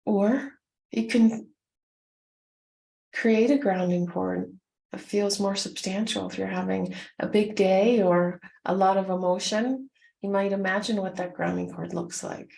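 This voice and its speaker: A woman leading a guided meditation, gently instructing in short, soothing phrases with unhurried pauses. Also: medium pitch, steady tone, creaky, low-quality recording.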